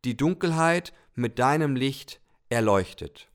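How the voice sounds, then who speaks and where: A man voicing a calm, kindly male God in a podcast story, gently explaining things. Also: medium pitch, a little slow, voice pushed up, lightly acted.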